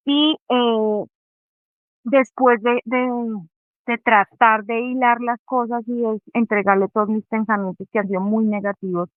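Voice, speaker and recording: A woman speaking earnestly and reflectively over a video call, telling a personal faith story to an online group and pausing often as she thinks. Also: on the high side, dry recording. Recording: tinny phone-like call audio.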